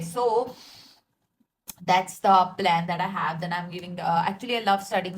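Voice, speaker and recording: A young woman rambles through a casual rant, full of likes and you-knows, and laughs at her own jokes. Her voice is a medium pitch for a woman. A faint hiss of noise sits under the recording. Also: rough recording. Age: 20s. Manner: chatty, self-mocking, laughs often, filler-heavy. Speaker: vlogger.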